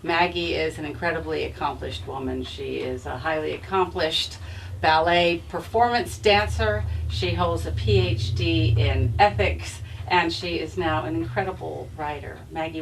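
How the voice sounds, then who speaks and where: A woman interviewer with a low voice and plenty of vocal fry, picked up from some distance with faint noise behind. She sounds formal, reading out prepared praise and questions for an author. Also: moderate pace. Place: bookstore event.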